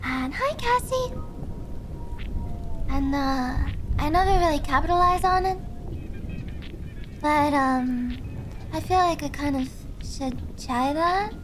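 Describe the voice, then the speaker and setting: A young woman streaming, chatting softly and hesitantly with viewers with many fillers. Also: sits high, animated, slow, long pauses. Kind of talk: casual chat with viewers. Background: faint background music.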